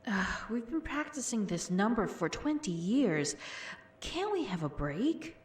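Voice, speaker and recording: An actress playing a weary, hungry, grumbling ghost dancer in an audio drama. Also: female voice, medium pitch, sing-song, unhurried. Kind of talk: scripted audio drama acting. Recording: echoey, far from the mic.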